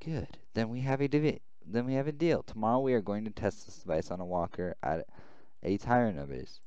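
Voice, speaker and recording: A man's voice: on the low side, fairly quick, mellow, clear, resonant tone, dry recording, highs cut off, lightly acted. A man voicing a calculating, persuasive male rebel soldier in a visual novel game, coaxing as he talks.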